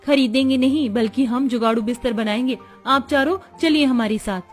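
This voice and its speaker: Woman acting a high-voiced village housewife, cartoon. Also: moderate pace, faint music behind, close to an everyday voice. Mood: cheerful, resourceful. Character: poor village women, dutiful resourceful daughters-in-law and scolding mother-in-law. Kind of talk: cartoon story dialogue.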